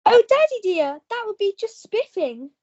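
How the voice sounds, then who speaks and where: High-pitched gushing posh young heroine played by a woman. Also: very animated, moderate pace, mellow, muffled recording. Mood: blissful. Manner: gushing, old-fashioned jolly phrasing. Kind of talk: melodramatic radio play acting.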